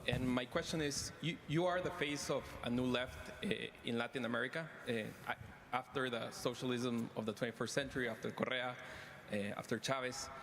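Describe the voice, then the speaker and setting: A man's voice: medium pitch, fairly even intonation, brisk, a bit bright, slight background noise. A young man from Ecuador, a student, asking a formal question in a university hall. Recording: roomy hall, off-mic.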